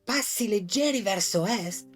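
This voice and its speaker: A woman voicing a small, curious male chameleon who asks calm, steady questions like a young detective. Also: medium pitch, unhurried, faint music behind. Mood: inquisitive. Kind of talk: storybook character voice.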